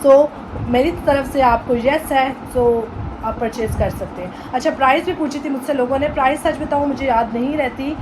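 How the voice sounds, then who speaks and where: A woman's voice: sits high, normal speed, noisy background. A woman in her twenties with a Pakistani accent, chatting casually in a vlog and mixing in English phrases and playful Punjabi. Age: 20s. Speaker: vlogger.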